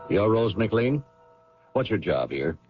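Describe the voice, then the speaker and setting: A dogged police lieutenant in a radio drama. His voice is very low and swings expressively as he puts skeptical, pointed questions. The old radio recording is dull and close. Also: big pitch swings, soft background music. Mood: pressing. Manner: pointed questioning with deliberate pauses.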